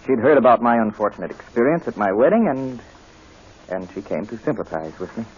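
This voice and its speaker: An actor playing a smooth, falsely polite, menacing major in a vintage radio drama. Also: male voice, medium pitch, very animated, brisk. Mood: falsely genial. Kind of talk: 1940s radio acting. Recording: dull old radio broadcast.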